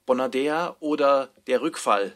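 A man's voice: mid-range voice, measured pace. A man reading out scripted chapter titles in a radio play.

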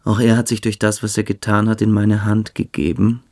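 A man playing a calculating, cruel young military-school cadet in a German radio drama, speaking with deliberate, coolly superior menace. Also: fairly low pitch, completely flat delivery, a little mellow. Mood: cold, calculating.

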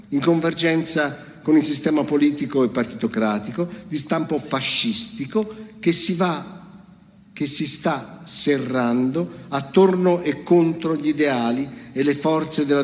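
A man's voice: mid-range voice, big-room sound. A man reading out a political speech in a solemn, indignant, declamatory way. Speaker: reader.

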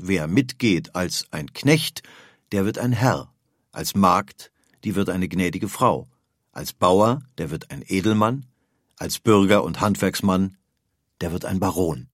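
A man's voice: on the low side, dry recording, clean studio sound. A man reading scripted historical documentary material as a narrator.

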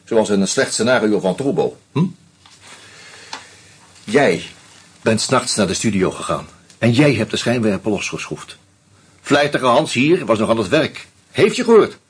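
A bitter drunk narrator. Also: male voice, on the low side, sing-song, breathy edge, exaggerated voice. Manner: slow, sardonic, confronting. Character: drunk bitter man exposing a murderer. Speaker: Hans Troebo, narrator. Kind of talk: first-person radio drama narration.

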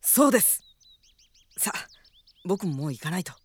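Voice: mid-range voice, sing-song, moderate pace, slightly rough, no echo. An actor playing an earnest, anxious young boy mage in a Japanese radio drama, with a youthful manner. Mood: respectful.

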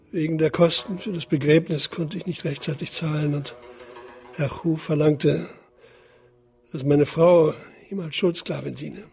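An actor in a radio drama playing a poor, bitter father who speaks deferentially and in measured, formal phrases to his superior. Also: on the high side, creaky, dark tone.

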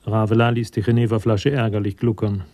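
A man narrating a radio crime drama as a detached storyteller, measured and matter-of-fact. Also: lowish voice, little pitch movement, dark tone, lightly acted.

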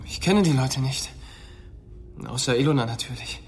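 A man acting in a radio drama as an anxious, defensive young man, speaking uneasily and haltingly in protest. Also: mid-range voice, expressive, slight background noise, close to an everyday voice. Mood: bewildered, protesting.

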